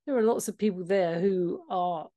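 Low mellow-voiced woman host. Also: normal speed. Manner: friendly, encouraging, asks questions with short laughs. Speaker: podcast host, interviewer. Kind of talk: casual interview chat.

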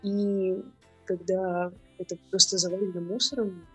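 A woman from Irkutsk, a guest on a casual podcast, speaking in an outspoken, indignant way with hesitant pauses. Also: fairly low pitch, fairly even intonation, a bit bright, husky, soft background music, rough recording. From Russia, Irkutsk.

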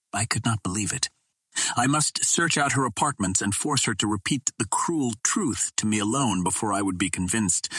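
A man reading an adventure novel aloud as scripted dramatic narration, in a measured, literary storytelling manner. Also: fairly low pitch, fairly bright tone, husky, no room sound. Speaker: audiobook narrator.

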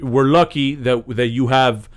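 A man's voice: medium pitch, fairly even intonation, a little slow. A man co-hosting a podcast in casual chat, emphatic and profane, often agreeing with his partner. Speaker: podcast co-host.

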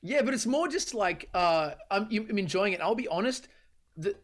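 A young man, a guest musician, chatting casually in a radio interview with quick restarts. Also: high voice, expressive. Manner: fast, easygoing, restarts.

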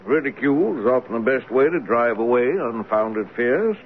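An actor playing a skeptical, rational doctor in a radio drama, with a dry, dismissive, formally polite manner. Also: male voice, medium pitch, animated, normal speed, lightly acted. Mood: dryly dismissive. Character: skeptical rational country doctor, male, mildly mocking. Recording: tinny, noisy old radio broadcast.

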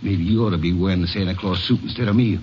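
An actor playing a sneering, mocking bank robber in a 1950s radio crime drama. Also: male voice, low voice, fast, natural voice. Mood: sarcastic, menacing. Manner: mocking, tough. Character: sneering, sarcastic male bank robber in Santa suit. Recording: muffled old radio recording, faint noise.

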